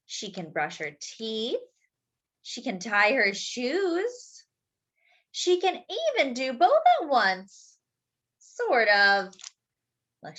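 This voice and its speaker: A woman's voice: medium pitch, a bit bright. A woman hosting story time, reading a picture book expressively for young children, stretching key words and adding simple explanatory asides.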